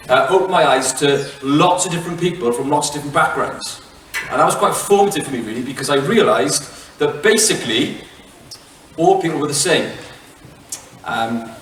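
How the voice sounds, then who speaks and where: A middle-aged Welsh man giving a relaxed public talk, chatty and self-mocking, with plenty of fillers and restarts. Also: fairly high pitch, a little hiss or hum. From Wales. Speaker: head teacher giving a talk. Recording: echoey hall, mic from far off.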